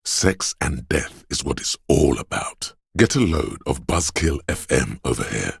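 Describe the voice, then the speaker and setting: A profoundly low, husky male voice playing the inner voice of addiction in a video game. It crackles with gravel and coaxes slyly, seedy and indulgent. The sound is dry and close, like a tempter inside the head. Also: big pitch swings, measured pace, no echo, heavily acted. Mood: mocking. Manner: sly, seductive, indulgent coaxing. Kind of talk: video game character voice lines.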